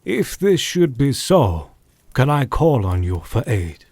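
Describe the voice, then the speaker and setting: A man playing a grave, courteous scholarly doctor in an audio drama, speaking formally with measured politeness. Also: medium pitch, very animated, darkish tone, no echo, full character voice. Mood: grave, earnest.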